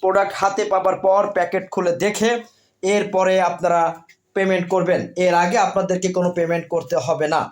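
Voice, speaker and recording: A man with a distinctly high voice and a Bangladeshi accent presents a sales pitch for electronics. He announces things deliberately, breaking them into short phrases and repeating key details. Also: flat delivery. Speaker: product seller and presenter.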